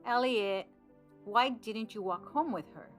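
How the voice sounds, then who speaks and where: An actress playing a protective, anxious mother in an audio drama, expressive and full of concern. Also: fairly high pitch, measured pace. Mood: alarmed, scolding. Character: protective, anxious mother, tender with her child. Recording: music under the voice. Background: music.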